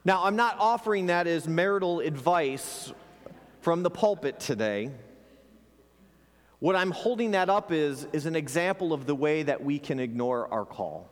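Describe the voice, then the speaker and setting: A man's voice: fairly high pitch, animated, moderate pace. A man pastor preaching an earnest sermon to his congregation, sometimes repeating words as he restarts a phrase. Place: church sanctuary. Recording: long hall reverb.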